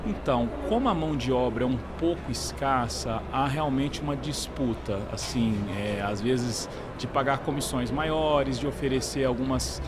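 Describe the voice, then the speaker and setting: A middle-aged man answers interview questions in a conversational, explanatory way. His voice sits at a medium pitch. Clear ambient noise from a trade fair runs behind him. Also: moderate pace, hardly any pauses. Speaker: interview guest, real estate executive. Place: busy trade fair stand.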